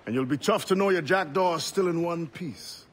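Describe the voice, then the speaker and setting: An actor playing a loyal, steady quartermaster and gently wise friend in a video game drama. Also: male voice, on the high side, moderate pace, a little hiss or hum, close to an everyday voice. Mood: calm, fond.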